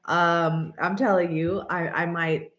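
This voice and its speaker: A woman in her late thirties, stuffy and nasal from allergies, chatting casually and rambling to her viewers while often clearing her throat. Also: lowish voice, fairly even intonation, takes it slowly, fairly bright tone, echoey room. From American. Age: 30s. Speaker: channel host. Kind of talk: casual vlog chat.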